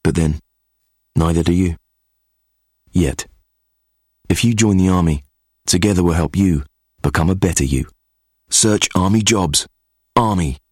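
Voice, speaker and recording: A man narrating a recruitment advert in a measured, earnest way. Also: low voice, darkish tone, breathy edge, dry recording, studio quality. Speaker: advert voice-over.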